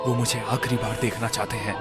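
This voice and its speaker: A man playing a cautious, earnest villager in an animated horror story. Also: mid-range voice, quick pace, music in the background, natural voice. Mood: wary, uneasy. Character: wary, serious village man in horror cartoon. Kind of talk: Hindi animated story voice acting.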